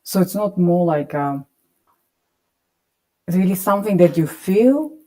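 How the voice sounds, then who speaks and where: Woman, low mellow voice, halting and thoughtful, panel speaker. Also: animated. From Russia. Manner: thoughtful, searching for words, frequent restarts. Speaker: panelist, poet. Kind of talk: panel discussion.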